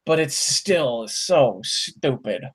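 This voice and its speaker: A man's voice: medium pitch, expressive, slow, husky. A man co-hosting a live fan podcast, bantering and giving opinions with lots of restarts. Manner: joking, hesitant restarts when giving opinions. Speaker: podcast co-host.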